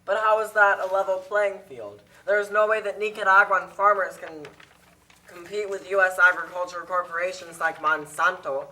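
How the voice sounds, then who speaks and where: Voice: mid-range voice, steady tone, moderate pace, sharp tone, natural voice, rough recording. A performer voicing a weary, struggling small corn farmer in a puppet show, earnest and plaintive.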